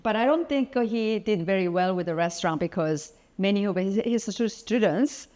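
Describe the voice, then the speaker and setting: A middle-aged woman from South Korea, whose first language is Korean, talks as a guest in a home interview. She speaks with halting pauses and many fillers. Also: mid-range voice, mellow. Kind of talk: casual interview. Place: home studio.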